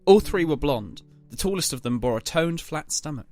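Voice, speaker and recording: A man's voice: fairly high pitch, lively intonation, moderate pace, darkish tone. Male story narrator with music behind. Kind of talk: scripted dramatic story narration.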